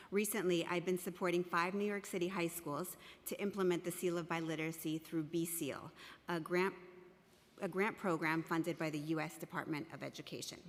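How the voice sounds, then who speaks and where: A woman whose first language is Russian, a professor reading prepared testimony in a measured, formal way in a council chamber. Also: lowish voice. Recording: long reverb of a large hall.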